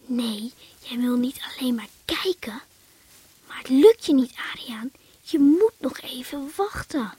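A woman playing a young twin sister from a fishing family in a radio drama, defensive and anxious, with clear noise around her. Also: sits high, animated, measured pace, mellow, dry recording, heavily acted. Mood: anxious, hushed.

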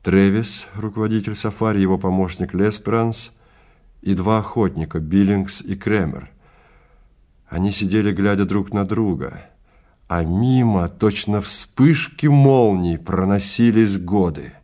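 A man narrating a science fiction story in a theatrical, deliberate style with weighty pauses. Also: deep voice, dark tone. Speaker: narrator and reader of a dramatized story.

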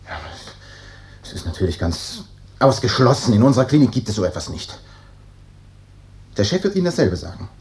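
An actor playing an evasive, hesitant hospital doctor in a 1950s German radio drama, on an old noisy recording. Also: male voice, mid-range voice, very animated, fairly quick, pauses often, a little mellow, natural voice. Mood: guarded. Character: evasive, brisk hospital doctor, uneasy with hard truths.

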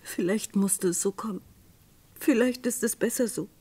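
An actress playing a remorseful witness in a radio crime drama, speaking in a subdued, regretful way. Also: female voice, mid-range voice, normal speed, warm tone, breathy edge, close to an everyday voice. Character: remorseful woman witness confiding in a police inspector. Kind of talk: 1950s-80s German radio crime drama acting.

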